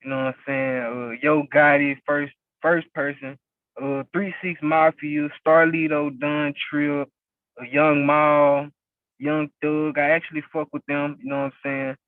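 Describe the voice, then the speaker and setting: Young rapper on a tinny phone line. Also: male voice, on the high side, little pitch movement, measured pace, no echo. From West Memphis, Arkansas. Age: young adult. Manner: laid-back, constant "you know what I'm saying". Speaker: rapper and label owner, interview guest. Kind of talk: casual interview talk.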